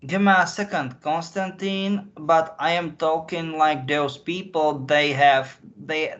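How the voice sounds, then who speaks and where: A man from Slovakia with a Slovak accent gives rambling interview answers, haltingly repeating and rephrasing as he searches for words. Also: on the high side, fairly bright tone, muffled recording. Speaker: interview guest.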